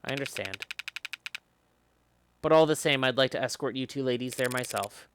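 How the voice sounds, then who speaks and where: A man playing a guarded, courteous police detective in a visual novel, measured, understated and hesitant. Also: medium pitch, background noise, lightly acted. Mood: guarded, polite. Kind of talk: acting out visual novel dialogue on stream. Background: loud game music.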